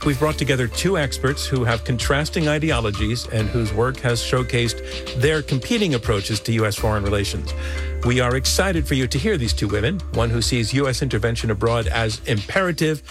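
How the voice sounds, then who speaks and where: A man's voice: mid-range voice, expressive, fairly quick, music in the background. Genial male debate moderator. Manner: genial, with small restarts and stumbles. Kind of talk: hosting a panel discussion.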